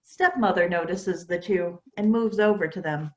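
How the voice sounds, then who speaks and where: A woman's voice: on the low side, moderate pace, muffled recording, slight background noise. A woman reading scripted stage directions as narrator of a staged play reading.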